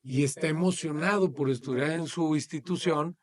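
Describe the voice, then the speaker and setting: A middle-aged man with a Mexican accent, recounting his own life as a podcast guest. His voice sits a little high for a man, and he talks in an animated, slangy way. He laughs easily as he goes. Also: normal speed. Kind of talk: storytelling in casual interview.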